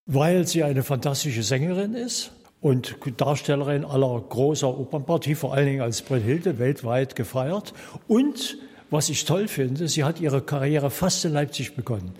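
A man's voice: mid-range voice, moderate pace, darkish tone, breathy edge. A man, a festival organizer, giving an enthusiastic interview statement. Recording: long hall reverb.

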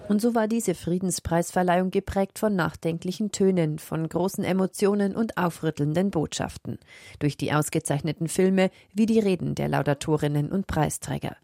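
A woman reads a news report in a measured voice. Her tone is dark and gravelly, recorded close and dry. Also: lowish voice, no room sound. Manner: measured, read-out delivery.

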